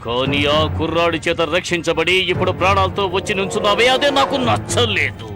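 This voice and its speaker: Menacing mocking old boss villain, cartoon dub, very animated, loud music. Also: male voice, sits high. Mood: contemptuous, mocking. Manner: mocking, menacing, drawn-out emphasis. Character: scornful, domineering older male villain boss. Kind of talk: dramatic cartoon dub acting.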